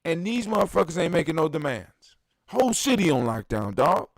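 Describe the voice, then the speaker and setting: A man in his fifties talks with a lively, swooping, slightly high voice, ranting with heavy cursing and strong emphasis. The sound is close and dry, with no room around it. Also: brisk, dry recording. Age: 50s. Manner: animated, profane, emphatic ranting. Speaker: podcast host. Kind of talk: casual chat.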